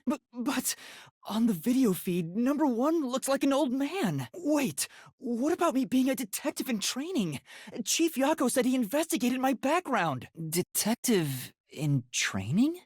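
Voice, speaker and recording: A man playing an earnest, anguished young detective in training in a video game, with a light, boyish, breathy voice and a hesitant, emotional delivery. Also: extremely high pitch, no echo, clean studio sound, full character voice. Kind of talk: dramatic English video game voice acting.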